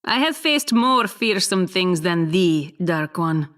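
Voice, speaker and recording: A woman's voice: on the low side, very animated, slow, fairly bright tone, heavily acted. An actress playing a stern, protective female adventurer in a video game, speaking firmly and formally. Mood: stern warning. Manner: firm, formal.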